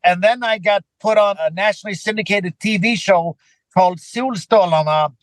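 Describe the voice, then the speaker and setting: High-pitched man from Sweden, chatting casually. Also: normal speed, no room sound, rough recording. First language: Swedish. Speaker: podcast guest, filmmaker and actor. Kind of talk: casual conversational storytelling.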